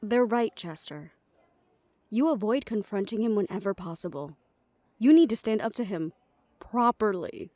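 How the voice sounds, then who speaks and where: A woman's voice: medium pitch, expressive, normal speed, warm tone, no echo, close to an everyday voice. Woman acting firm, wry protective young-sisterly figure. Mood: firm, insistent. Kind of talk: audio roleplay drama acting.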